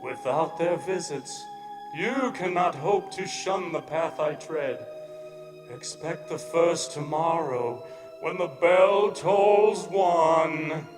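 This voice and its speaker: A man playing a tormented, remorseful ghost in a radio drama, declaiming solemn warnings in a mournful, drawn-out manner. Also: on the high side. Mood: grave warning. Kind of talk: stage radio drama acting. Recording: echoing hall, long reverb, music underneath.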